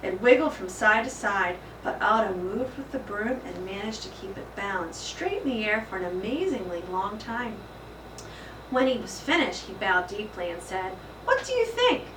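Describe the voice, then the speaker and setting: A woman narrating a children's storybook aloud in an expressive, dramatic and emphatic style. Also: medium pitch, moderate pace. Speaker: school principal reading aloud. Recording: echoey room, distant mic, faint noise.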